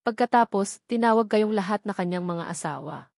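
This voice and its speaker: A woman's voice: mid-range voice, fairly quick, vocal fry, a little mellow, dry recording. Woman narrating a novel, very dry recording. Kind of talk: scripted audiobook narration.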